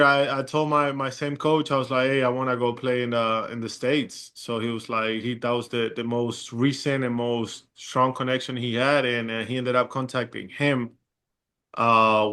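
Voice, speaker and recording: A young man from Chile with a Chilean Spanish accent, a guest in a casual interview, talking in a relaxed, rambling way with many fillers. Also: medium pitch, moderate pace. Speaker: guest, pro basketball player.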